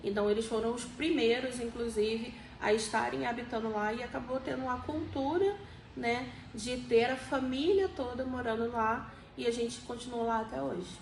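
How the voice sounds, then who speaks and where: A woman's voice: on the high side, fairly even intonation, normal speed, creaky. A forty-year-old Brazilian woman with a Rio de Janeiro accent, explaining things conversationally as an interviewee and often checking in with little tag questions. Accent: Rio de Janeiro Brazilian Portuguese. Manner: explanatory, frequently seeks agreement with tag questions. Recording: echoey room, faint background noise.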